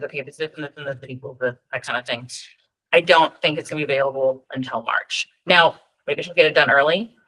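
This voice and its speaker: Woman staff presenter on a video call, bright forceful tone, casual and chatty, lots of ums while walking through her screen. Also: low-pitched, low-quality recording. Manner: chatty and informal, frequent ums, friendly asides. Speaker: data team presenter at a state EMS registry meeting. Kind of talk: virtual meeting presentation with screen share.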